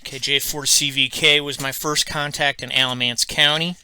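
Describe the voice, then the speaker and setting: A man giving an unscripted, step-by-step software tutorial in a halting, methodical, instructional way. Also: medium pitch, steady tone, slight background noise. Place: indoors at a computer, screen-recording voice-over.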